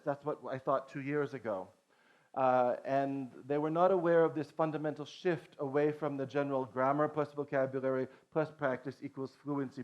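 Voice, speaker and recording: A man's voice: on the high side, a little mellow. Lecturing man, dull lo-fi sound. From Boston. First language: English. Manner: earnest lecturing with frequent restarts and "okay". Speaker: conference presenter, language teacher. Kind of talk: conference talk. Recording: dull lo-fi recording.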